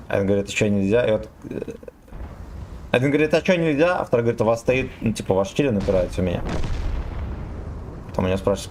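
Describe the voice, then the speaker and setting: Brief: young male streamer, lowish voice, jokey and profane, faint game sounds under him. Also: warm tone, a little hiss or hum. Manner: joking, swears freely, reads chat aloud. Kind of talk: casual game stream chatter.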